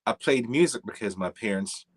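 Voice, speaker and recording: A man's voice: fairly low pitch, fairly quick, breathy edge. A middle-aged man from Jamaica with a Jamaican accent, a jazz musician talking as a guest in an easygoing, rambling way. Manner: easygoing, rambling, with many fillers and restarts. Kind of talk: casual interview chat.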